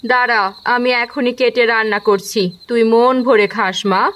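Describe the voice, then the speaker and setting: A woman voicing an expressive, dramatic village housewife in a cartoon. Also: a little high, moderate pace, slight background noise, natural voice. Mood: tender, soothing. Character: village wives, from fond mother to sharp-tongued scold. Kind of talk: Bengali cartoon voice acting.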